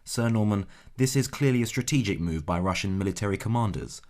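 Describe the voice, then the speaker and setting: A man's voice: lowish voice, lively intonation, brisk, darkish tone, natural voice. A man playing a serious news presenter, delivering scripted breaking news in a formal, controlled manner. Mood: urgent, controlled.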